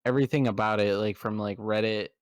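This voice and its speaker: A man's voice: fairly low pitch, fairly even intonation, fast talker, no echo, clean studio sound. A young man hosting a podcast, chatting casually, joking, swearing, and laughing a lot. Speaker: podcast host. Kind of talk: casual chat.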